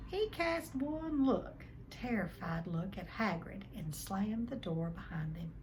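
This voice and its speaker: A woman's voice: medium pitch, expressive, slow, darkish tone, a little hiss or hum, rough recording. A woman reading a storybook aloud expressively, like a teacher addressing her listeners. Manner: addresses listeners, dramatizes the text. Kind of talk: expressive storybook read-along.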